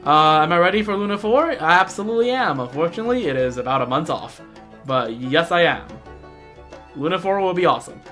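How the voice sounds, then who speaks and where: A video game streamer talks casually to viewers in a mid-pitched voice. The delivery is informal fan commentary with gamer slang. Music plays clearly behind the voice. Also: expressive, a little fast, fairly bright tone. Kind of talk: casual livestream chat mixed with reading game dialogue aloud.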